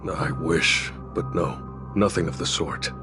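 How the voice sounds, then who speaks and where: A really deep serious game character, formal tired leader type, measured, music behind. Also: male voice, fairly even intonation. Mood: grave, measured. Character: grave, formal, weary male community leader bearing bad news. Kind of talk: video game voice acting.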